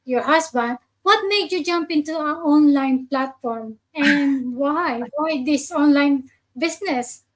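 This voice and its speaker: High-pitched, slow-talking woman host. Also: low-quality recording. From Philippines. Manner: hesitant, many fillers and restarts. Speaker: host of a live online chat. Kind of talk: casual live stream conversation.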